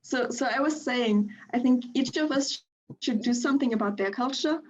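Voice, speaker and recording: A young woman on an acted video call, talking slowly and hesitantly with many fillers. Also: high voice, muffled recording, slight background noise. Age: young adult. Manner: slow, hesitant, trailing with fillers.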